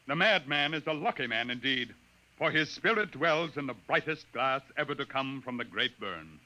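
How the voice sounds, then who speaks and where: Solemn alien chief, piercingly clear. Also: male voice, mid-range voice, expressive, sharp tone, muffled recording. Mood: solemn, proud. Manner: formal, measured, ceremonious. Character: solemn, proud alien chief of a potters' tribe, male. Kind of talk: 1950s radio drama acting.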